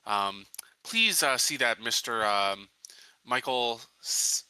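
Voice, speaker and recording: A man's voice: mid-range voice, lively intonation, takes it slowly, sharp tone, clean tone, no room sound, rough recording. A man playing a tabletop roleplaying game, chatting casually and hesitantly as he searches for his words.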